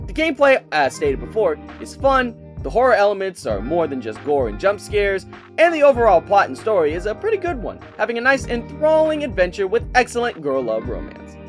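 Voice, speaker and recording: A man giving a casual, scripted game review with an enthusiastic, playful and expressive delivery. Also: sits high, brisk, soft background music.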